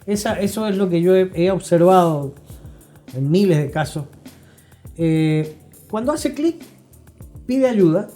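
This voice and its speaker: A middle-aged man with an Argentine accent, explaining things as an expert guest in an interview in an emphatic, deliberate way, pausing to check he is understood. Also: high-pitched, lively intonation, mellow, soft background music. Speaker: guest expert, psychology professional.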